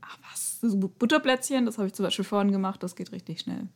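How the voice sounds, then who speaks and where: A woman's voice: medium pitch, fast, vocal fry. A woman chatting casually as a podcast guest, blunt and argumentative in the way she talks.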